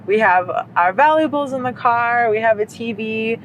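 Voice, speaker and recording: A woman's voice: a little high, normal speed, a little hiss or hum, rough recording. A woman vlogging from the passenger seat of a car at night, talking casually and animatedly with her partner. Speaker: vlogger, co-host.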